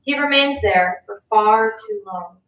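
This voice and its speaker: A woman's voice: medium pitch, a little slow, lo-fi sound. A woman reading stage directions as scripted narration for a play. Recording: echoey, distant mic, clear background noise.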